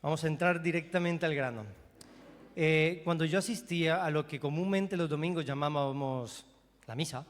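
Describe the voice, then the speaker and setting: A man's voice: fairly high pitch, normal speed. A man from Colombia with a Colombian accent, preaching a sermon as pastor, talking conversationally and asking the congregation questions. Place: church hall. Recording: long reverb of a church hall.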